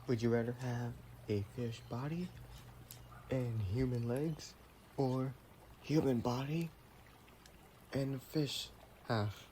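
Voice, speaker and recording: A man playing an affectionate, playful vampire boyfriend in an intimate roleplay audio, chatting in a gentle, teasing way. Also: medium pitch, fairly even intonation, takes it slowly, long pauses, slight background noise, lightly acted. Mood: musing, relaxed.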